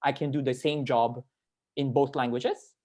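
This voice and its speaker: A man's voice: medium pitch, steady tone, unhurried, darkish tone. Young man rambling casually, emphatic repetition. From Philippines. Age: young adult. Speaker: panelist sharing work experience. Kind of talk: casual spoken panel talk. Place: online video call.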